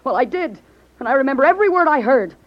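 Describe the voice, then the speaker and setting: An actress playing a tough, confrontational gangster's dame in a 1950s radio drama. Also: female voice, sits high, lively intonation, fast talker. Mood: angry, defiant. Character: tough, defiant gangster's dame turning on her boss. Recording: dull 1950s radio broadcast recording.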